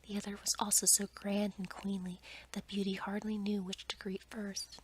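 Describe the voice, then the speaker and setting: A woman reading a bedtime story in a soft, breathy ASMR whisper, gentle and hushed. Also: medium pitch, flat delivery, moderate pace.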